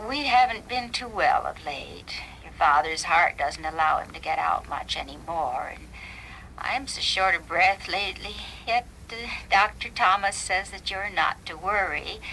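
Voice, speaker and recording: A woman's voice: on the low side, bright, forceful tone. A woman playing a frail elderly mother, short of breath, tenderly pleading for her son. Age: older. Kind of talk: dramatized short story acting. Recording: noisy vintage radio drama recording.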